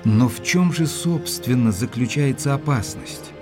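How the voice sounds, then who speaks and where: An actor playing an earnest, gentlemanly doctor in an audio drama, speaking in a courteous, measured way. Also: male voice, medium pitch, soft background music, close to an everyday voice. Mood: earnest concern. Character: earnest gentlemanly doctor, loyal and cautious, narrating. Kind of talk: audio drama narration and dialogue.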